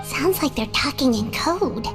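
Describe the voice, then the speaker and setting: Squeaky, swooping childlike sprite sidekick. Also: female voice, unhurried. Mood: curious, chatty. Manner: exclamatory, expressive. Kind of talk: video game voice acting. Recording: music behind the voice.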